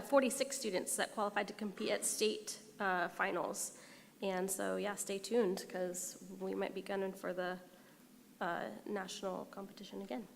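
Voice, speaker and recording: A woman's voice: mid-range voice, gravelly, fairly bright tone. A woman serving as school board president, chairing a public meeting. She is upbeat and encouraging, with frequent "uh" and "um" between agenda items. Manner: filler-heavy, upbeat and encouraging. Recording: reverberant hall, faint room noise.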